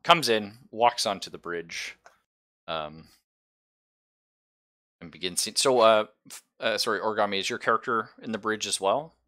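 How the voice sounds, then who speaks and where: A man's voice: lowish voice, moderate pace, pauses often, sharp tone. A man casually narrating a tabletop roleplaying game as game master, in a chatty, conversational way.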